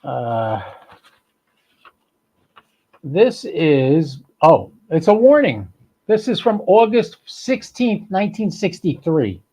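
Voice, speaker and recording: A man's voice: a little high, sing-song, slow pace, pauses often, dark tone. A man hosting a hobby podcast, chatting informally and thinking aloud in a rambling live-stream style. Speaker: amateur radio podcast host.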